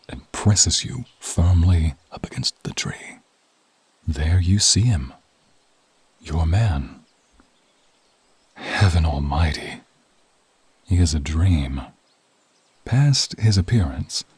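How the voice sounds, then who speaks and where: Deep, very husky man narrating slowly. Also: a little mellow. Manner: dramatic, intimate, often dropping to a whisper. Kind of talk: ASMR roleplay narration, scripted second-person storytelling.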